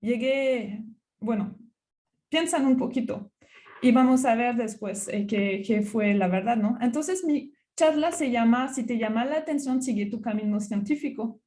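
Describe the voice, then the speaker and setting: A woman's voice: fairly high pitch, unhurried, mellow. A middle-aged woman from France with a French accent gives a conference talk as a university researcher, with many fillers and restarts.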